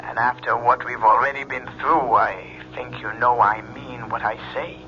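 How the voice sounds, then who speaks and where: An actor playing a menacing extortionist in a radio drama, speaking with slow, insinuating repetition. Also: male voice, mid-range voice, fairly even intonation, lightly acted. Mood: quietly menacing. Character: menacing fortune teller extorting money, coldly insinuating. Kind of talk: 1970s radio drama acting. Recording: muffled, noisy old radio broadcast.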